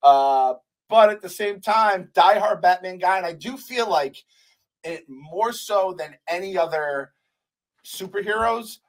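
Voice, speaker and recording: A young adult man hosting a podcast, talking in animated, playful, swearing banter at a studio mic. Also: sits high, measured pace, low-quality recording. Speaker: podcast host. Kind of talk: casual chat. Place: studio room with poster wall. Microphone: large studio mic with headphones.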